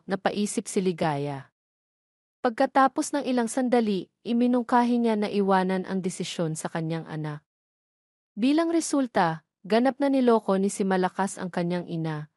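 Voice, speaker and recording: A woman's voice: medium pitch, darkish tone, no echo. Woman narrating, close dry sound. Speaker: story narrator. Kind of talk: scripted narration of a romance drama.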